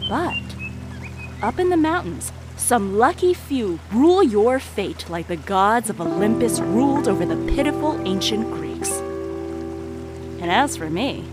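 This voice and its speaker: Sly teasing storyteller woman, audio drama, really animated swoopy delivery, music playing loud behind her. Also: on the high side, measured pace. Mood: grand, romantic. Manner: conspiratorial, teasing, theatrical. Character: Marla, sly conspiratorial woman storyteller of murder and revenge. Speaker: narrator character of an audio drama. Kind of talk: theatrical dramatic monologue to the listener.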